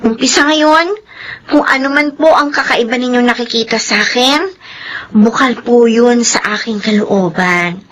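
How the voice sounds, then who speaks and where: An actress in a Filipino radio drama playing an eager, caring older sister and devoted daughter with expressive delivery. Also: female voice, fairly high pitch, a little slow. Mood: earnest.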